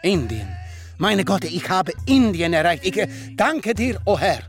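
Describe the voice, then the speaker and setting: An actor playing an excitable, stammering, self-important man from history in a comic radio play, with music underneath. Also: high-pitched, measured pace, heavily acted. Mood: awed.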